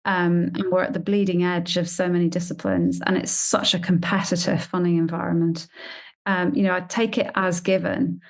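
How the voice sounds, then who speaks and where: A woman's voice: on the low side, little pitch movement, moderate pace, gravelly. A woman scientist answering conversationally in an interview, emphatic and repeating phrases for stress. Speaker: scientist and grant panel member.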